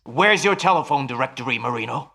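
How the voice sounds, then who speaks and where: A man playing a grave, earnest stage telepathist in a radio play, with a deliberate, courteous and dramatic manner. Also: mid-range voice, lively intonation, vocal fry, pushed and bright. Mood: curt.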